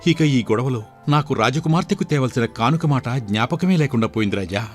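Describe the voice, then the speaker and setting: A brave but humble young prince of a folk tale, voiced by a man. He talks earnestly in a respectful, formal way at an average male pitch, over faint music. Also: expressive, fairly quick, close to an everyday voice. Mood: earnest recounting. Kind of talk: dramatised Telugu folk tale.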